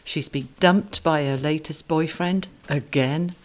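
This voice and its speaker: A woman's voice: sits low, measured pace. A woman, an author narrating her own book. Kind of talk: audiobook narration.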